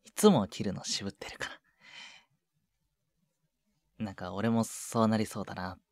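A man playing a shy junior boyfriend in an intimate ASMR voice drama, speaking softly and hesitantly with breathy murmurs and casual politeness. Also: on the low side, steady tone, moderate pace, no room sound, close to an everyday voice. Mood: gentle, reluctant. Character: shy younger junior boyfriend, awkward at showing affection. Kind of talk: intimate ASMR situation voice drama, one side of a phone call. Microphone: close, dry microphone.

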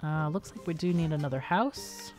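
Low-pitched woman chatting through a game. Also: normal speed, a little mellow. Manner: chatty, thinking aloud. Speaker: gaming host. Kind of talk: casual game commentary. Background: game music.

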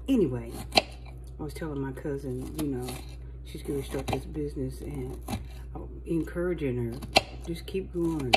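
A woman's voice: low-pitched, very noisy. A middle-aged woman talking casually and encouragingly while prepping food at her kitchen counter, filmed on a phone. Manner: talkative, encouraging, chatty while chopping vegetables. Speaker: home cooking vlogger. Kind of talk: casual chat while cooking. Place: home kitchen counter at night, indoors.

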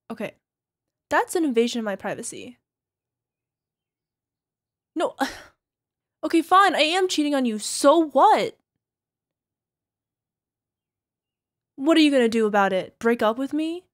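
A woman acting as a toxic, gaslighting young girlfriend in a realistic ASMR roleplay, dismissive and exasperated. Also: a little high. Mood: defiant, irritated. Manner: exasperated sighs, scornful, halting defensive delivery. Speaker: voice actor.

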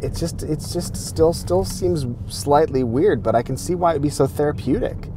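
A man's voice: on the high side, normal speed, over loud music. A middle-aged man hosting a comic interview, asking playful, joking questions while driving a car. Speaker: host. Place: inside a moving car, driving.

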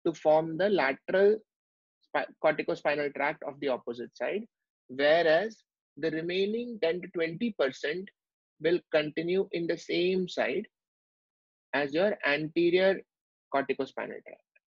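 A man's voice: on the high side, breathy edge, dry recording. A man teaching a medical lecture over a drawn whiteboard, explaining step by step with many fillers. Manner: explanatory, with frequent fillers and restarts. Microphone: online class recording. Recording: dull lo-fi dry sound.

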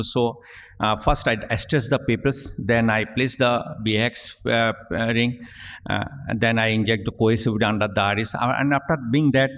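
A middle-aged man, an eye surgeon, giving a technical conference lecture at a podium microphone, halting with many fillers and restarts. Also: medium pitch. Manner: halting, frequent fillers and restarts, reading at a lectern. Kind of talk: technical lecture narrating surgical video. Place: large conference hall, at a podium. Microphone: podium gooseneck microphone. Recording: long hall reverb.

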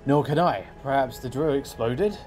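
A man acting in an audio drama as a level-headed polar expedition member, measured and serious. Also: medium pitch, over music, close to an everyday voice. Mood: concerned.

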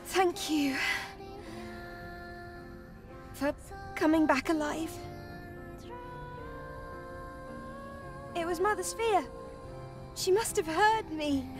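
An actress playing an anxious, devoted young female tech operator in a video game, emotional and expressive. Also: very high-pitched, unhurried, far from the mic, music in the background. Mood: subdued, concerned. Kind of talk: English video game cutscene voice acting.

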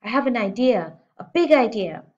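A woman voicing an eager, determined young girl who pleads excitedly with her father. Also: a little high, normal speed, lo-fi sound, pitched above the performer's own voice, natural voice.

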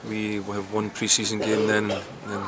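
A middle-aged man, a football manager giving a post-match interview outdoors, halting and repeating words as he thinks. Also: fairly low pitch, completely flat delivery, over a noisy crowd. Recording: loud outdoor background noise.

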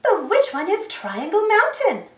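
A little girl adventurer from a children's storybook, acted by a woman, encouraging and sure of herself. Her voice is high and hugely lively in pitch, calling out emphatically in a roomy sound. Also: measured pace, recorded from a distance, full character voice. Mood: eager.

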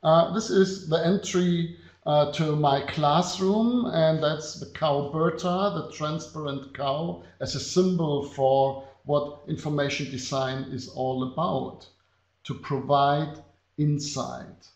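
A middle-aged man from Bavaria with a German accent, giving a slide lecture on a video call at an unhurried pace with many filler pauses. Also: fairly high pitch, fairly even intonation, darkish tone. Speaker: design professor. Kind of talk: webinar lecture presenting slides. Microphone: computer mic on a video call. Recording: echoey, dull webinar audio.

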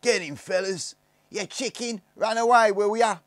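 A man voicing the eldest boy of a rough gang in a storybook, threatening and slangy. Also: mid-range voice, lively intonation, fairly quick, no room sound, voice pushed up, natural voice. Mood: jeering.

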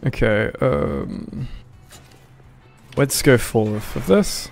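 A man's voice: fairly low pitch, animated, very slow, vocal fry. A man hosts a casual gaming livestream, hesitant and mumbly, with plenty of swearing. Game music plays faintly behind him. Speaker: livestream host. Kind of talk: casual gaming stream chat.

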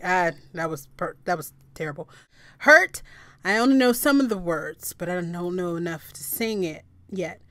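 A young woman chatting casually to her webcam in a small room, laughing at herself and breaking into bits of song, with a low register voice. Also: lively intonation, moderate pace, fairly bright tone, no echo. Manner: giggly, self-deprecating, breaks into song. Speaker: vlogger, singer. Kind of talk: casual chat to camera, singing snippets.

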